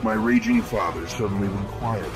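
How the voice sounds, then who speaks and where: An actor playing a grim, eloquent killer who narrates horror fiction in a measured, florid, theatrical manner. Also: male voice, lowish voice, wildly swooping pitch, gravelly, exaggerated voice. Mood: grim relish. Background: music.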